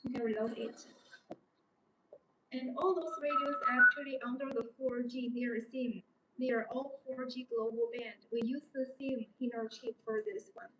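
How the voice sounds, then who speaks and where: A woman's voice: a little high, fairly bright tone. A young woman, not a native English speaker, giving an unscripted, repetitive live sales demonstration of a product. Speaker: live-stream product presenter. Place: indoor showroom studio with desk and backdrop. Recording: roomy, distant mic, background noise.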